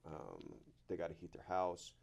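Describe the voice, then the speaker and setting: A man talking casually as a panel guest, plain-spoken and somewhat halting. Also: low-pitched, sing-song, creaky, a little mellow, lo-fi sound. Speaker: panel guest, public works worker. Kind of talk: casual chat.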